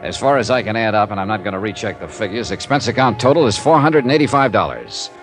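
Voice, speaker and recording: An American actor playing a wry, hard-boiled insurance investigator in an old radio drama, clipped and deadpan. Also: male voice, fairly low pitch, fast talker, lightly acted. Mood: weary, wry. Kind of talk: 1950s radio detective acting, narration. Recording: vintage radio recording with music.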